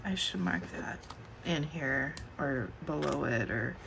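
A middle-aged woman losing her voice, chatting slowly and musing aloud as she flips through her planner at a desk. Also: rumbling low, vocal fry, background noise. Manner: slow and musing, thinking aloud. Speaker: planner channel host. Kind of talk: casual plan-with-me chat. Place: indoors at a desk.

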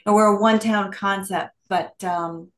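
A woman's voice: mid-range voice, fairly even intonation, unhurried, a bit bright. A middle-aged woman, a school district administrator, asking questions on a video call and thinking aloud hesitantly. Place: office with windows and bookshelves. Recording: roomy office echo.

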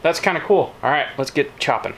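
A man's voice: a little high, lively intonation, a little fast, a little hiss or hum, rough recording. A man in his thirties chatting casually to viewers while cooking in his home kitchen, joking and explaining as he goes. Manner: easygoing, jokey, explains to viewers. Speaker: homestead vlog co-host.

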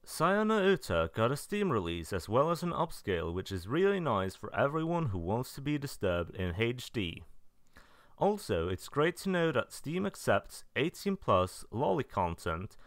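A man narrating a semi-scripted review in a matter-of-fact way, with dry, deadpan asides. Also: mid-range voice, normal speed, no room sound. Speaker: video narrator reviewing game releases.

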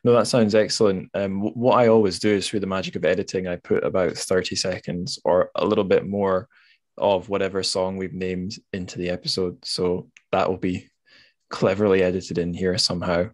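Low-voiced man hosting a podcast. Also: little pitch movement, moderate pace, no room sound. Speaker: podcast host. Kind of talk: casual podcast interview.

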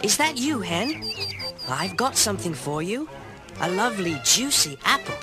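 A man's voice: high-pitched, moderate pace, music in the background. A young man voicing an eager, impetuous teenage farm boy in a storybook drama. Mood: earnest. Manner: earnest, excitable.

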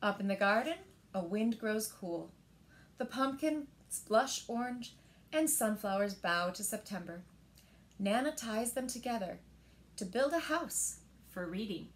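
A woman in her thirties reads picture books aloud to young children with expressive, deliberate phrasing, recorded indoors in a living room. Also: mid-range voice. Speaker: storyteller at a library story time.

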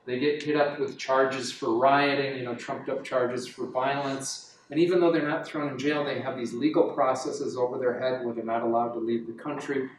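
A man's voice: mid-range voice, steady tone, moderate pace, hardly any pauses, slight background noise. A middle-aged man, a journalist, answering audience questions in a rambling way with many fillers and restarts. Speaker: guest speaker, journalist. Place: university classroom. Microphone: far camera, no mic. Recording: echoey classroom from far off.

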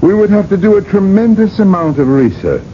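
An actor playing a blunt, candid physician in a radio drama, speaking in a measured, dry way. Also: male voice, high voice, very animated. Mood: frank, measured. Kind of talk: 1980s radio drama acting. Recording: muffled, hissy vintage radio broadcast.

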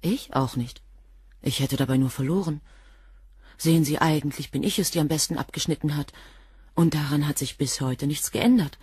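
Guarded, husky, coolly defiant assistant. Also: female voice, deep voice, moderate pace, darkish tone, dry recording, clean studio sound. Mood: cool, dry. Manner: precise, cool, clipped answers. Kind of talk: German crime radio play dialogue.

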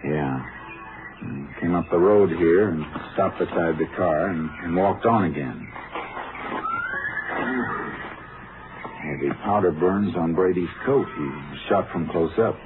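A man playing a steady, commanding Texas Ranger investigator who also narrates, in 1950s radio crime western style. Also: sits low, normal speed, lightly acted. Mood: businesslike. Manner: terse, businesslike, authoritative. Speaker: lead actor and narrator. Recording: muffled vintage radio recording, loud music.

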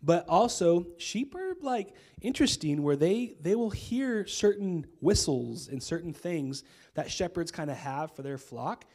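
A man in his thirties with an American accent preaching a sermon on a church stage into a handheld mic, his voice hoarse from a cold, casual and conversational with many fillers. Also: fairly high pitch, lively intonation, measured pace, a little mellow. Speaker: pastor. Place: church stage with string lights.

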